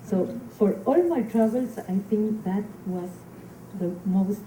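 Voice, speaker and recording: A Spanish-speaking woman with a Mexican accent, a poet addressing a live gathering in halting speech with many fillers and restarts. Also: medium pitch, expressive, warm tone. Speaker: invited poet. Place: community hall. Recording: echoey hall, noisy room.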